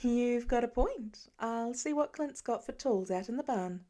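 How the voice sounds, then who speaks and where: A woman voicing a patient, caring mother with a gentle, reassuring manner in a story reading. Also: fairly high pitch, expressive, measured pace. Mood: caring, wry. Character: patient, caring mother of young and teenage children. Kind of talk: audiobook character voice.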